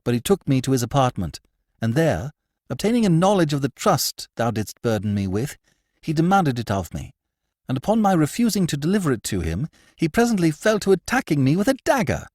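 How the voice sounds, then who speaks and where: A man's voice: on the high side, very animated, brisk, a little mellow, no echo, clean studio sound, pitched above the performer's own voice. A man reading a story, voicing a shaken honest young man in earnest, old-fashioned formal speech. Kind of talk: audiobook character voice.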